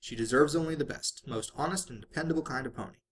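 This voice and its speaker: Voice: medium pitch, fast talker. An amateur reader narrating a story aloud in the manner of a home-made audiobook. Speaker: fan fiction reader.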